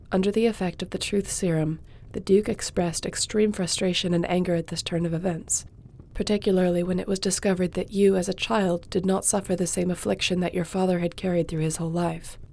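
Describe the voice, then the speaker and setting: A woman playing a loyal court sorceress in a fantasy audio roleplay, speaking in a measured, formal, gently supportive way. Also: on the low side. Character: loyal, composed court sorceress advising her king.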